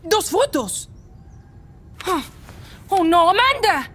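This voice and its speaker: High, lively young boy. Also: slow, a little hiss or hum, heavily acted. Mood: excited. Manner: eager, exclamatory. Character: excitable, naive young boy guerrilla, curious and eager. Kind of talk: video game cutscene acting.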